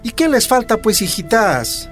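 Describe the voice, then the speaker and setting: A man's voice: extremely high pitch, very animated, a little slow, over music, full character voice. An actor playing a loving, stubborn Bolivian union man and father, reasoning tenderly in a radio soap opera. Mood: tender, reassuring.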